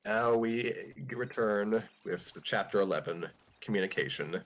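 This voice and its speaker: A man's voice: lowish voice, moderate pace, a bit bright. A man hosting a casual group podcast, chatting and reading fan fiction aloud.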